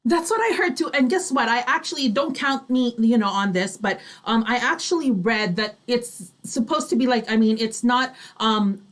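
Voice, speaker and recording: A woman's voice: on the high side, animated, moderate pace, hardly any pauses. A woman co-hosting a casual radio show, chatty and often tagging sentences with "you know" and "right".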